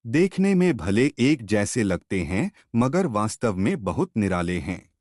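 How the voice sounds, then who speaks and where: A man narrating a scripted folk tale as a storyteller, in a measured, expressive audiobook manner. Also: fairly low pitch, dry recording, clean studio sound.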